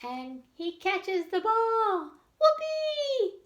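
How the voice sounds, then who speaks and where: A woman voicing an excitable, curious young girl in a children's storybook, eager and expressive. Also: very high-pitched, drawn-out words, echoey room, muffled recording, a little hiss or hum, voice pushed up, exaggerated voice. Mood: thrilled.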